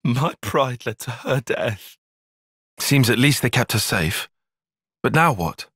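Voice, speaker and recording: Game role: a young British tactician, formal and exact, earnest and careful, with lively intonation at a middling pitch. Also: male voice, slow pace, breathy edge, dry recording, studio quality, full character voice. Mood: sombre. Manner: precise, formal, articulate. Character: earnest, cautious, brainy young male soldier-strategist. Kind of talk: video game cutscene voice acting.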